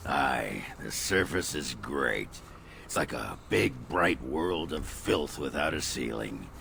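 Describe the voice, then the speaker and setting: Casting: hard-drinking dwarf brawler for a fantasy game. Bottomless, heavily fried voice, coarse and swaggering, unhurried. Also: male voice, rumbling low, background noise, heavily acted. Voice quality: gravelly. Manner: coarse, blustering, sweary. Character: gruff, crude, hard-drinking dwarf warrior itching to fight. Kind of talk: fantasy video game character acting.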